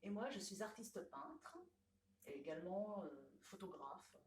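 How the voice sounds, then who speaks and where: A woman's voice: mid-range voice, expressive, a little slow, reverberant, far from the mic, a little hiss or hum, poor audio. A woman from Laos, a painter, speaking hesitantly in a radio interview. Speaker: painter and photographer.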